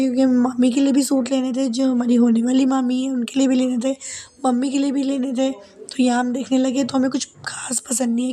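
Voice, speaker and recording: A young woman narrating her shopping vlog in a chatty, casual way, her voice a little hoarse from a sore throat. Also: high voice, warm tone. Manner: chatty, many fillers and "ठीक है" asides. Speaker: vlogger.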